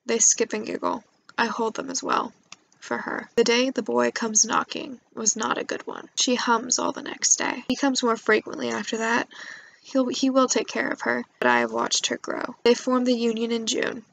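A woman's voice: on the high side, level tone, moderate pace, vocal fry, fairly bright tone, poor audio. A young woman, a student storyteller, reading a scripted poetic monologue. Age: young adult.

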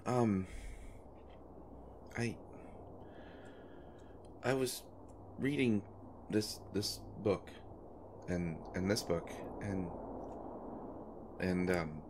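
A low, slow voice from a male actor plays a nervous young man, eager to please his partner. He stammers and halts his way along in an intimate audio drama. Some background noise sits under the voice. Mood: earnest, awkward. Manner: halting, stammering, flustered. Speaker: audio roleplay performer. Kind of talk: intimate one-sided audio roleplay drama.

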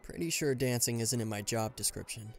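A man's voice: mid-range voice, a little fast, gravelly, soft background music, lightly acted. A man playing an aloof, reluctantly caring bodyguard in a roleplay audio drama, talking to the listener in a dry, guarded, mildly exasperated way. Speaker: voice actor.

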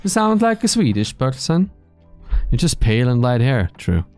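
A man in his thirties streaming from a small gaming room into a boom mic, chatting casually with his viewers, joking and swearing freely. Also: sing-song, moderate pace, darkish tone, soft background music. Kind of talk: casual chat reading live chat. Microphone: studio mic on boom arm, headphones.

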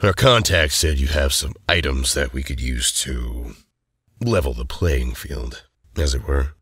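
Man as very deep, raspy old president. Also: fairly bright tone, dry recording, clean studio sound, exaggerated voice. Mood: guarded. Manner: measured, businesslike. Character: older silver-haired male president, measured and guarded.

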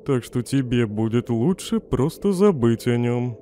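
A man's voice: mid-range voice, lively intonation, unhurried, mellow, slight background noise, lightly acted. A man voicing a snarky, irritable skeleton scientist in a comic dub, sardonic and dismissive. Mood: serious. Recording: faint music behind the voice.

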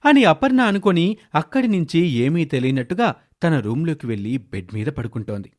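A man's voice: on the high side, sing-song, moderate pace, a little mellow. A man narrating a scripted moral story as the storyteller.

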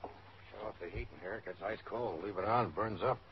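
A male actor plays a no-nonsense detective lieutenant, dry and commanding, in a radio crime play. The voice is very low and quick. The old recording is dull and faintly noisy. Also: lightly acted. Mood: brisk, dry. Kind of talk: old-time radio police drama acting.

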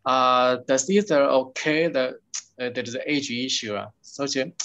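A man's voice: mid-range voice, normal speed, fairly bright tone, dull audio. Hesitant Taiwanese-accented man asking questions. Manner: hesitant, many fillers and restarts, apologetic. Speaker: webinar attendee asking questions. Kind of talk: halting questions in a video call.

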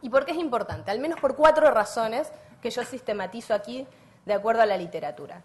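A woman from Argentina with an Argentine accent giving a structured conference lecture, wry and clearly organized. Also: fairly high pitch, lively intonation, brisk. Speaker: academic lecturer.

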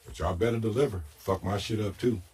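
Deep, very husky gruff fixer. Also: male voice, a little fast, darkish tone. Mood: wary. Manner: blunt, slangy. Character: gruff, wary music-business fixer. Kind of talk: video game cutscene acting. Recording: distant mic.

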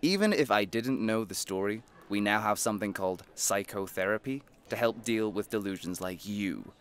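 A man's voice: on the low side, lively intonation, moderate pace, clean tone, lightly acted. An actor playing a wealthy, dismissive, dryly irritated man in an audio drama. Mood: defiant. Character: wealthy cynical modern man, irritated, Scrooge-like skeptic.

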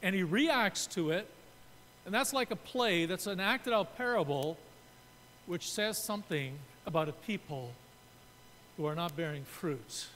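A man in his sixties from Canada, preaching a sermon from a pulpit and explaining his points while gesturing. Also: a bit bright. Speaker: pastor. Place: church sanctuary. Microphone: pulpit mic. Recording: reverberant church room, faint rumble.

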